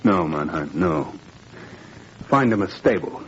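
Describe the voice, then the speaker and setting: A principled French family man and former professor in a 1940s radio drama. He speaks low, earnest and weary, with a wry streak of defiance. The recording is dull with faint noise. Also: expressive, unhurried. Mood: quietly defiant.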